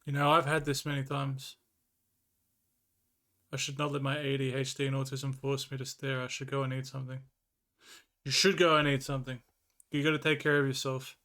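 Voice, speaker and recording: A man's voice: medium pitch, completely flat delivery, brisk, long pauses, pushed and bright. An Australian man streaming live, rambling casually as he reads and answers his chat and swears freely.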